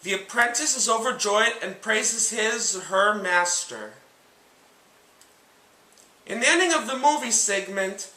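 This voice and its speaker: A man narrating a scripted book and film comparison as host, speaking in a deliberate, enunciated, presentational way. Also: sits high, bright, forceful tone. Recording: echoey room sound.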